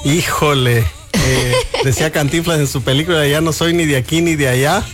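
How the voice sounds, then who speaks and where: A man's voice: a little high, steady tone, moderate pace, fairly bright tone, soft background music. An older man from Mexico, a guest on a radio show, speaking earnestly and reflectively in a studio booth. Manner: earnest, reflective, with frequent restarts. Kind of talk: radio interview.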